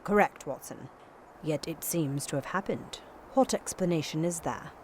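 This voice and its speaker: An actress playing a composed, authoritative Victorian occult detective in a radio drama, measured, assured and instructive. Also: female voice, low voice, expressive, darkish tone, slight background noise. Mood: analytical.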